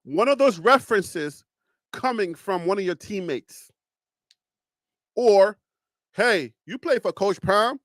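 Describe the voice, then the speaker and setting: A man's voice: slow pace, long pauses, dry recording, clean studio sound. A man with a Liberian accent hosting a live stream, arguing emphatically with rhetorical questions and teasing. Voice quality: animated, rising pitch when arguing. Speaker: live show host. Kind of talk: casual live-stream commentary.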